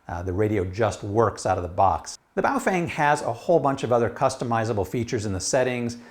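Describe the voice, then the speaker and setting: A man giving a casual product review in a conversational, emphatic way. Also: mid-range voice.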